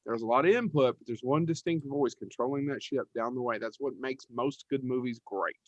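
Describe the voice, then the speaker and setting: A man's voice: medium pitch, a little fast, dry recording, dull audio. A man co-hosting a casual podcast panel, talking in an emphatic, rambling, informal way. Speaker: podcast co-host.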